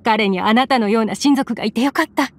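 A woman playing a gentle worried mother. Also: on the high side, fast talker, slight background noise, natural voice. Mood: grateful. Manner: soft, polite and anxious. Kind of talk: game voice acting.

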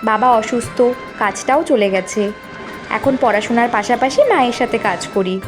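A woman voicing an earnest, hardworking young college student in an animated story, speaking politely and plainly. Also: a little high, moderate pace, music in the background, lightly acted. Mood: polite, steady. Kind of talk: Bengali animated story dialogue.

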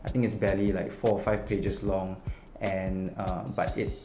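Young singaporean guy director in an interview, kinda low voice, stop-start talking, echoey room. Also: flat delivery, moderate pace. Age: young adult. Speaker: animation film director. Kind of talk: seated interview. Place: small indoor room. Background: music.

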